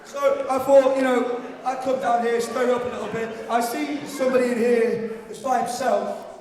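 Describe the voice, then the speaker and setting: A man's voice: very high-pitched, steady tone, normal speed, darkish tone. A man with an English accent delivering a mocking, belligerent wrestling promo as the villain taunting the crowd. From England. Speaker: wrestler as heel on the mic. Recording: echoey hall PA from far off.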